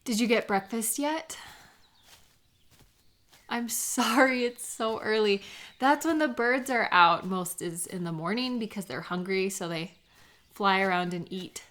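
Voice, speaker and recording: Woman playing a chatty, warm best friend. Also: medium pitch, natural voice. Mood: friendly, relaxed. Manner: chatty, gently encouraging, hesitant little pauses. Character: warm, teasing female best friend out bird watching. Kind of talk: lighthearted intimate audio roleplay, one-sided conversation.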